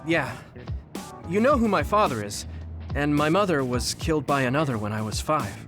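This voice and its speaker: A man plays an earnest young male soldier in an anime game. His voice sits fairly high and moves around a lot, boyish and easily flustered. Music plays underneath. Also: lively intonation, moderate pace, lightly acted. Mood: open, casual. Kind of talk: English anime game voice acting.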